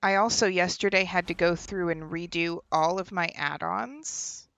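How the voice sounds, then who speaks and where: Low gravelly woman streamer chatting. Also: a little slow, a bit bright, dry recording, low-quality recording. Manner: chatty, laughing, trailing off mid-sentence. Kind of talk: casual gameplay stream chat. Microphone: desk microphone with headphones.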